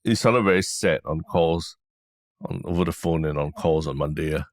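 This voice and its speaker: Singaporean man, very low voice, dry close mic, teasing, halting co-host chatter. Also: no echo. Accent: Singaporean English. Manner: dry, teasing, hesitant with fillers. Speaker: podcast co-host. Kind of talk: casual chat.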